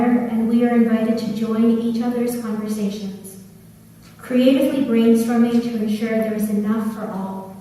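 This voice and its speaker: A woman leading a church service as worship leader, reading calmly and steadily. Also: on the high side. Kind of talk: liturgical reading. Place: church sanctuary. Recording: echoey church from far off.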